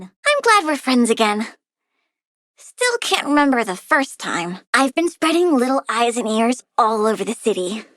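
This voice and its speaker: A woman's voice: sits high, fairly bright tone, dry recording, clean studio sound, heavily acted. A woman playing a childlike young plant-girl villain in a video game, whimsical and moody, cheerfully murderous. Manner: girlish, whimsical, sulky, gleefully menacing. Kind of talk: video game character acting.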